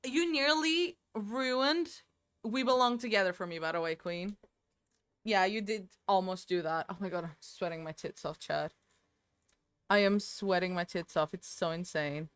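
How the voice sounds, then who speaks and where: A woman's voice: medium pitch, unhurried, a bit bright, clean tone, no room sound. A woman streaming a game from home into a desk microphone, chatting with viewers in a rambling, talkative way. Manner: chatty, rambling, answers chat aloud. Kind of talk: casual gaming stream chat.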